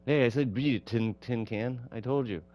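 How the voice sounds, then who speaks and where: A man's voice: mid-range voice, normal speed, dull audio, pitched above the performer's own voice, natural voice. A man voicing a huge, hostile bartender from a visual novel, raised and contemptuous in tone. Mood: angry.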